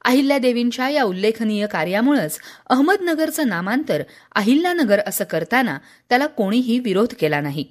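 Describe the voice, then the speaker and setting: A woman presents a scripted radio newsreel in a formal, measured manner. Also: a little high, very animated. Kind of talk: scripted radio news narration.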